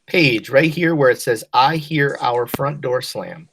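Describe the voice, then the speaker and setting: Low-voiced, encouraging woman teacher. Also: animated, unhurried, vocal fry, slight background noise. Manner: encouraging, patient, addresses pupils with endearments. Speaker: teacher leading a class read-aloud. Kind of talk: online lesson talk, prompting and praising pupils. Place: online class video call. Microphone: video call.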